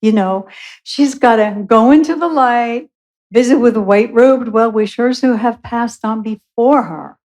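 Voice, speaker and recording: A woman's voice: on the high side, measured pace, a little mellow, lightly acted. A woman playing a bumbling, well-meaning little angel in a radio play, earnest, fussy and eager to please. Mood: matter-of-fact. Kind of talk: live radio play acting.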